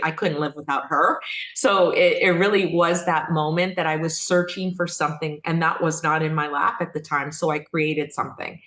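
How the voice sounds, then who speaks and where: A woman's voice: fairly low pitch, a bit bright. A middle-aged woman from Texas talking effusively and earnestly as an interview guest, telling stories in a casual conversational style. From Texas, United States. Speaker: interview guest, company founder. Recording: echoey room sound.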